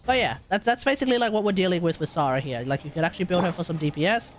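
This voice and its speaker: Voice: medium pitch, rapid-fire, a little hiss or hum. A streamer with an Australian accent giving casual, rambling, jokey live commentary on a game. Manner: rambling, jokey, easily amused.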